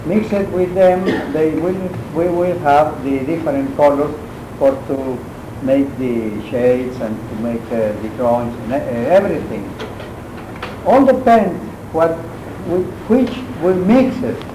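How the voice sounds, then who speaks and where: A man with a Mexican accent, Spanish his first language, lectures on painting in English at a very slow, halting pace, pausing often to find his words. His voice sits a little high. The recording is echoey, with clear background noise from a university lecture room. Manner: halting, searching for English words with many pauses. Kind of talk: art lecture.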